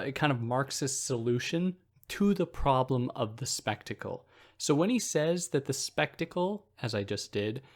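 A man hosting a philosophy podcast, explaining a text in a relaxed, conversational way and thinking aloud with fillers and restarts. He speaks in a soothing voice. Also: medium pitch. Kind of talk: casual explanatory lecture.

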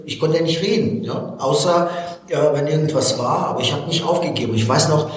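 A man's voice: fairly high pitch, a little fast. A man in his forties from Baku, a native Russian speaker, earnestly telling his personal story as a motivational coach in an interview, with frequent hesitations. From Baku, Azerbaijan. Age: 40s. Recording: very echoey room, distant from mic.

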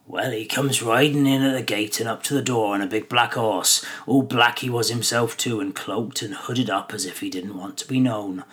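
A man's voice: on the low side, steady tone, normal speed, hardly any pauses, a bit bright, close to an everyday voice. A man voicing a suspicious, grumbling male hobbit farmer who talks in folksy rustic dialect. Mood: grumbling storytelling.

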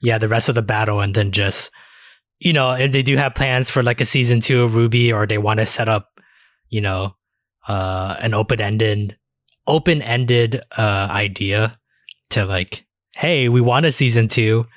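A man's voice: fairly low pitch, moderate pace, dry recording, studio quality. A man co-hosting a podcast, chatting casually in loose, colloquial phrasing. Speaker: podcast co-host. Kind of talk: casual chat.

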